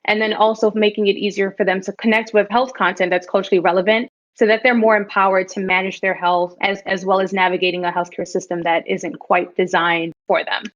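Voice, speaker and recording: A woman's voice: medium pitch, level tone, brisk, few pauses, highs cut off. A woman from New York City, a startup founder answering interview questions earnestly and at length. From New York City, the Bronx. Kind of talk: podcast interview.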